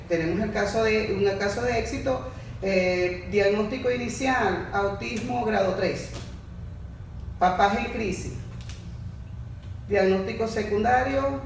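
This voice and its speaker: A woman, a facilitator explaining child development in a casual panel talk, full of restarts and lively examples. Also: medium pitch, vocal fry, slight background noise. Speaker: diploma facilitator, podcast guest. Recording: echoey room, far from mic.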